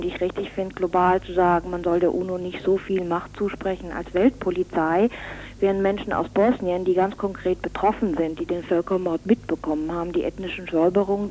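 A woman's voice: lowish voice, dark tone. A woman speaking spontaneously as an interviewed event organiser, earnest and opinionated, searching for words as she goes. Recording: faintly noisy 1990s radio recording.

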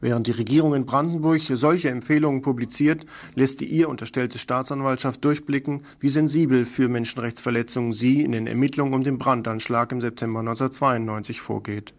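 A man's voice: medium pitch, fairly even intonation, a little fast, few pauses. A man presenting a radio news programme, reading scripted reports.

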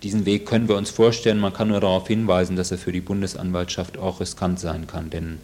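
A man giving a spontaneous legal analysis in a radio interview, speaking haltingly in long, involved sentences. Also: low voice, flat delivery, darkish tone.

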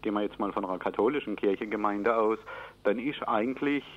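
A man's voice: lowish voice, quick pace. A man being interviewed, explaining things off the cuff in a matter-of-fact way, step by step. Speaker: interviewee explaining church employment practice. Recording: narrow, phone-like radio recording.

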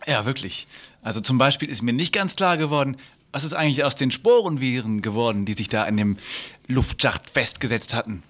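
A man's voice: mid-range voice, lively intonation, a little fast, fairly bright tone. Man asking mock-earnest questions. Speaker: co-host. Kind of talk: joking studio banter.

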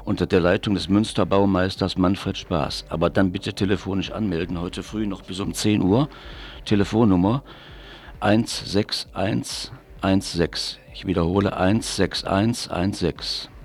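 A man presenting on morning radio, reading out local event listings in a halting, list-like way and repeating key details. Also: low voice, steady tone. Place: radio studio.

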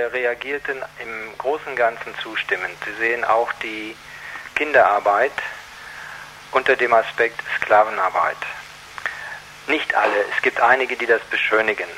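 A man gives earnest, considered answers as an activist guest on the radio. His voice sits at a middling pitch and he hesitates as he goes. There is a faint hum and noise on the recording. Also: fairly bright tone, poor audio. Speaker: activist guest against child labour. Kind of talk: radio interview, considered explanation.

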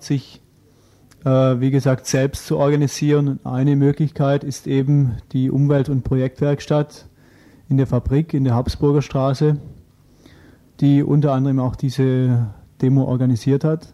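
A man's voice: medium pitch, level tone, moderate pace, warm tone. A man giving information in a radio discussion.

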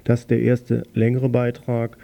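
Male news presenter, very dark gravelly voice, flat measured delivery. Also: medium pitch. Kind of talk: read news introduction.

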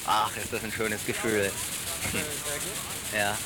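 A man's voice: on the low side, little pitch movement, slow pace, rough recording. A male radio reporter reporting live from an outdoor protest site, enthusiastic and addressing the crowd.

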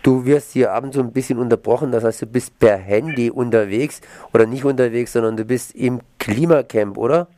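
A man with a medium-pitched voice hosts an informal radio interview. He puts questions and sums up for listeners, in a very dry sound with no room around it. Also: brisk, few pauses, warm tone, dry recording.

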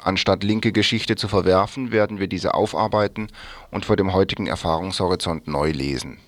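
A man's voice: low-pitched, a little fast. A man reading scripted news and articles as a radio newsreader.

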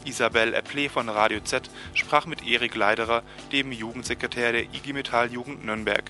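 Male radio presenter, bright voice over music. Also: medium pitch, fairly even intonation, brisk. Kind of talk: scripted narration introducing a report. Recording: music behind the voice.

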